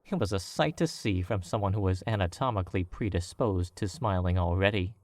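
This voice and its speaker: A man with a very low voice narrates a story in a measured, even way. The sound is close and dry, with no room around it. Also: no echo. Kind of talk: scripted audiobook narration.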